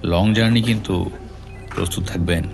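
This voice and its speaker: Man as a low, gravelly office superior. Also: steady tone, normal speed, soft background music. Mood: businesslike. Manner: formal, matter-of-fact, mixes in English words. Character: businesslike office superior briefing an employee.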